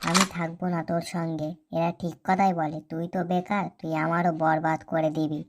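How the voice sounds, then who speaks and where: A woman's voice: low-pitched, level tone, fairly quick, very noisy. A woman speaking dialogue for a comedy cartoon. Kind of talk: cartoon comedy dialogue voicing several characters. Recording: loud music behind the dialogue.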